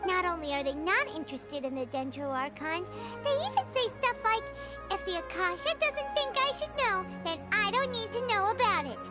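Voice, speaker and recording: A woman's voice: lively intonation, normal speed. A woman voicing a tiny chirpy fairy companion girl who talks about herself in the third person, with game music behind. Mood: discouraged. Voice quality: high, squeaky and bubbly. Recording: game audio with loud background music.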